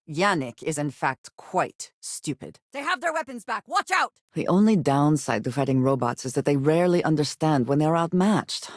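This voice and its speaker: An actress playing a guarded, serious woman space smuggler in a video game, speaking in measured, formal sentences with dry reserve. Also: low-pitched, no echo, full character voice. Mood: urgent. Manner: measured, formal speech with few contractions. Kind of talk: video game companion dialogue.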